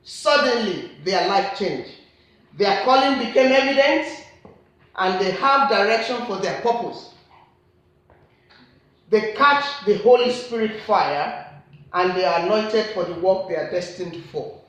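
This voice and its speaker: A woman's voice: medium pitch, animated, unhurried, long pauses. A woman with a Nigerian accent preaching a sermon in a church, repeating her key points with earnest emphasis. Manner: earnest, repetitive emphatic phrasing. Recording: very echoey church hall.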